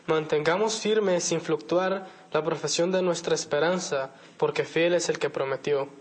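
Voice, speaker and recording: Young Salvadoran theology student. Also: male voice, on the high side. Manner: hesitant, many fillers when speaking freely.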